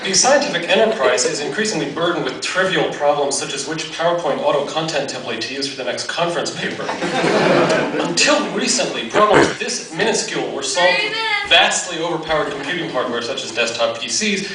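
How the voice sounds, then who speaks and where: A man in his thirties reads a mock-scientific paper aloud in a deadpan, formally academic way. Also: a little high, fairly quick. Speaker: researcher presenting a paper. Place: large dark auditorium, on stage. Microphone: podium gooseneck, captured by distant audience camera. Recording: echoey auditorium from far off, noisy.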